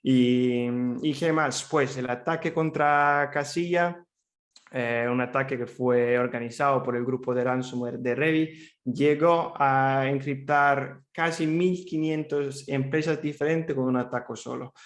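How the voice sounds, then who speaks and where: A man's voice: mid-range voice, fairly even intonation, measured pace. Italian man presenting in Spanish. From Italy, Rome. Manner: friendly and chatty, with many fillers and repeated restarts. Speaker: cyber threat intelligence analyst presenting at a summit. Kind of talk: conference presentation.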